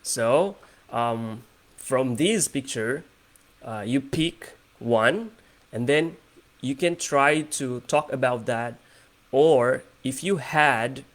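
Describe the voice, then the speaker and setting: A man's voice: medium pitch, takes it slowly. A man with an Indonesian accent, hosting a casual English conversation practice on a video call, hesitant and full of fillers. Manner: hesitant, many fillers and restarts.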